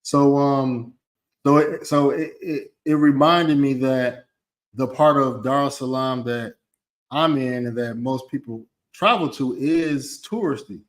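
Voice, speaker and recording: A man's voice: mid-range voice, a little slow. A man vlogging casually about his travels, rambling with many restarts and repeated words, and swearing now and then.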